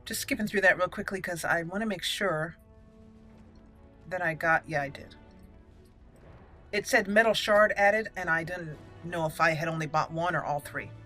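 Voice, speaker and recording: A woman's voice: on the low side, moderate pace, long pauses, pushed and bright, faint music behind. A woman giving casual gameplay commentary, rambling and thinking aloud as she plays. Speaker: gameplay host.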